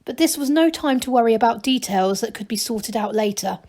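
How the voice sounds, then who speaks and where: Female storybook reader, teacherly, expressive narration, fairly high voice. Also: fairly quick. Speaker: teacher reading aloud.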